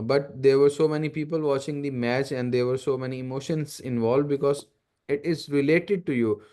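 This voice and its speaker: A man's voice: mid-range voice, dark tone. A man with a Pakistani accent, a native Urdu speaker, giving an academic lecture as a guest university lecturer, explaining step by step with emphatic repetition. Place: online video meeting.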